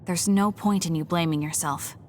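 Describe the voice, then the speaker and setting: Actress as a blunt, low-pitched young woman soldier. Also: normal speed, a little hiss or hum, natural voice. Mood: matter-of-fact, probing. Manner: matter-of-fact, reserved, blunt. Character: cool, blunt young female soldier, guarded, loyal sister. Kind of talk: video game voice acting. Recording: studio voice under loud game music.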